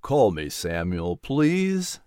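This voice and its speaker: A man voicing a calm, reassuring reverend with a lower, measured delivery in a storybook reading. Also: smooth, no room sound, studio quality, full character voice.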